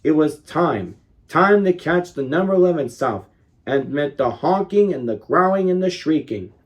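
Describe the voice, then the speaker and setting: A man in his thirties reading a children's picture book aloud expressively, leaning on key words with deliberate dramatic emphasis. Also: a little high, expressive, muffled recording. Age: 30s.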